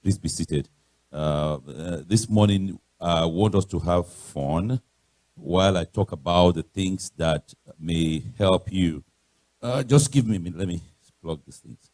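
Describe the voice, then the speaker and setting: A middle-aged man with a Nigerian accent and a loud voice, giving a chatty, informal talk as a guest speaker in church. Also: measured pace, dry recording. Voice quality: loud, booming voice. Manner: chatty, anecdotal, repeats phrases. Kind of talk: informal motivational talk to a congregation. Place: church podium.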